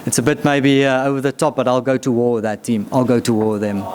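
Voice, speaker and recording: A man's voice: mid-range voice, flat delivery, quick pace, darkish tone, a little hiss or hum. A man giving a proud, emphatic speech about his team at a launch event. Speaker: executive speaker.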